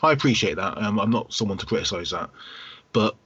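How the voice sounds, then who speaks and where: Male podcast co-host, husky low voice, explains hesitantly with repeated phrases, slightly dull lo-fi sound. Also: lively intonation, brisk, a bit bright, no room sound. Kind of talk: casual podcast discussion of video games. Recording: dull, lo-fi voice recording.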